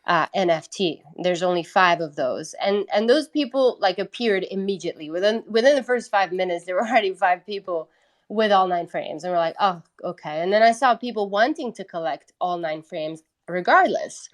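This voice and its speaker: Female interview guest, musician from Italy, on the lower side in pitch, conversational and informal delivery. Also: animated, normal speed.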